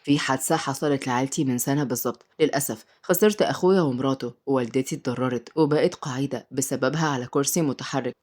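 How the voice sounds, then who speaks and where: A polite, kindly uncle, put on by a woman reader, gentle and courteous in a storybook drama. The voice drops low and soft, with measured manners. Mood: earnest. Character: courteous, soft-spoken adult man, kind devoted uncle.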